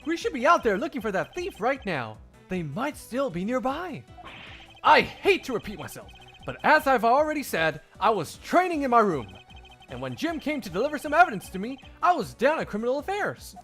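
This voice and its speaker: A man voicing a smug, haughty rival prosecutor in a video game dub, theatrical and emphatic, quick to turn defensive. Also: extremely high pitch, huge pitch swings, fairly bright tone, soft background music, pitched above the performer's own voice, exaggerated voice. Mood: insistent, defensive. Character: smug, haughty male rival prosecutor, flustered when cornered.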